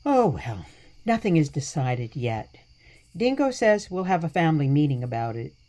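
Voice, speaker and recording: A woman's voice: sits low, big pitch swings, normal speed, warm tone. A woman playing a weary but sweet and wry elderly grandmother in a radio play. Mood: sweet, musing.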